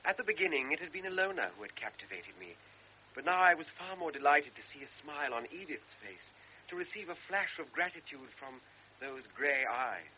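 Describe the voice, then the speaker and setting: A man's voice: fairly high pitch, lively intonation, fairly quick, slight background noise, close to an everyday voice. An actor playing a young lieutenant who reflectively narrates his own past in a radio drama. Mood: wistful. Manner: reflective first-person narration. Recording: dull old radio recording.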